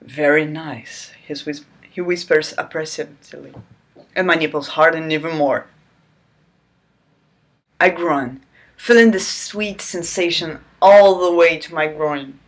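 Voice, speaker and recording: A woman reads aloud in a slow, lowish voice, hamming up every line for laughs. She keeps stopping to toss in sarcastic jokes and squealing asides. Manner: theatrical, breaks off to joke and squeal. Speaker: channel host reading aloud. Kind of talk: mock-dramatic reading with sarcastic asides.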